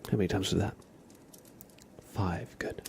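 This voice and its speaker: A man speaks slowly and softly in ASMR style, often slipping into a breathy whisper. He gives gentle instructions in a playfully deadpan way, his low voice edged with a little fry. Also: a little hiss or hum. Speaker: ASMR live streamer. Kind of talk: soft-spoken ASMR roleplay of a medical exam.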